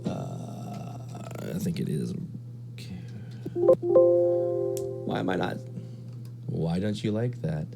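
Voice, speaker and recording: A man's voice: low voice, big pitch swings, drawn-out words, gravelly, long pauses, loud music behind the voice. A man presenting a casual screen-walkthrough tutorial, thinking aloud step by step. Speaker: presenter of a radio DXing tutorial.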